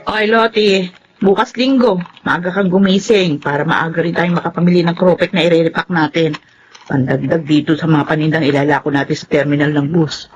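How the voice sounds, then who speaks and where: A woman's voice: low voice, fairly even intonation, brisk, highs cut off, a little hiss or hum, close to an everyday voice. A woman playing a practical, burdened middle-aged mother in a Filipino radio drama, with a Filipino accent. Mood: brisk, practical.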